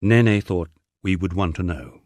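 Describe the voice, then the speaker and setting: A man's voice: deep voice, measured pace, a little mellow, no echo, studio quality. A middle-aged man with an English accent reading a first-person memoir as a scripted narration. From England.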